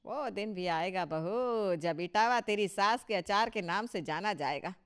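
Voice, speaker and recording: A touchy, bossy older mother-in-law in a family cartoon, acted by a woman. Her pitch sits in the middle of the female range. She speaks with proud emphasis and a boastful, scolding manner. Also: animated, unhurried, fairly bright tone, clear, resonant tone. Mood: boastful, indignant. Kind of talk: Hindi cartoon story dialogue.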